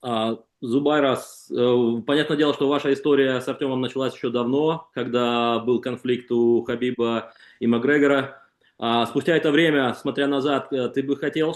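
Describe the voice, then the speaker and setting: Male interpreter, hesitant phrasing, middle pitch, clearly echoey room sound. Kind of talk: consecutive interpreting on a press call. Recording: echoey room on conference call.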